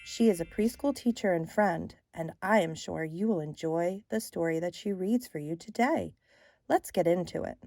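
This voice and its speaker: A woman's voice: lowish voice, moderate pace, gravelly, warm tone, dry recording. A woman speaking as a host, giving a scripted welcome and introduction to viewers. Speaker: host introducing a guest reader.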